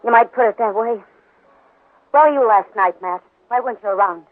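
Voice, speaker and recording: A woman's voice: a little high, normal speed, dry recording, lightly acted. A world-weary American saloon woman played by a radio actress speaks curtly and with reproach. The sound is dry and thin, from an old 1950s western broadcast with a narrow, muffled tone. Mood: reproachful. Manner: curt, reproachful.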